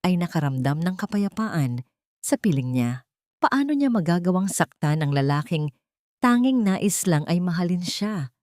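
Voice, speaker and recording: Low-pitched woman narrating a drama. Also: a little mellow, no echo, clean studio sound.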